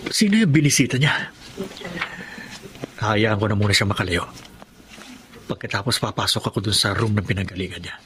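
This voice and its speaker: A man's voice: fairly low pitch, animated, normal speed, pauses often, fairly bright tone, slight background noise. A man acting an earnest, suspicious husband in a Filipino radio drama.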